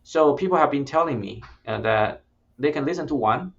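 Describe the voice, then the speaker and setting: A man's voice: mid-range voice, expressive, moderate pace, gravelly, muffled recording. A man who is not a native English speaker, a startup founder explaining his product in an interview, speaking plainly with hesitant restarts. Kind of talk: interview conversation.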